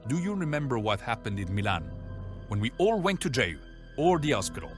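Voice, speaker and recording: A man playing a collected, gentle priest in an audio drama, speaking calmly and gently. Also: medium pitch, brisk, lightly acted. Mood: calm reproach. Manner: calm, gentle. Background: music.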